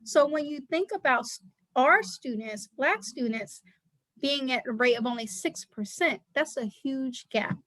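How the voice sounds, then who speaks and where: A woman's voice: sits high, expressive, measured pace, a bit bright, dry recording. A woman guest on an interview podcast, speaking as a nonprofit director in an earnest, emphatic way and repeating key words for stress.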